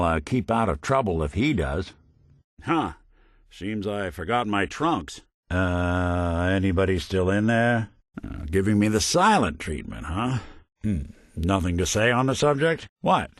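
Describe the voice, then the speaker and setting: A man's voice: on the low side, fairly bright tone, no echo. A man voicing a weary synth detective in a video game, with an American accent and a dry, sardonic noir delivery. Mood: wry, casual. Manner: wry, dry sarcasm, noir detective drawl. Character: weary synth detective, world-worn male android gumshoe. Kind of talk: video game character dialogue. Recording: very dry close studio recording.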